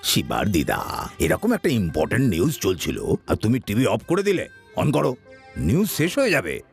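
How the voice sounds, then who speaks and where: A man voicing an older grandfather in a cartoon, gravelly and fussy but doting, with music underneath. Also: on the low side, huge pitch swings, normal speed, exaggerated voice. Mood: fussing. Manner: scolding, then encouraging. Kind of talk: Bengali cartoon dubbing.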